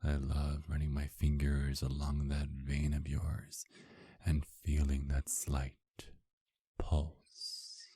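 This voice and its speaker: A man's voice: rumbling low, monotone, takes it slowly, warm tone, breathy edge, no echo, exaggerated voice. A man playing an affectionate, teasing vampire husband in an intimate ASMR romance roleplay, murmuring tenderly and flirtatiously. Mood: flirty, sensual.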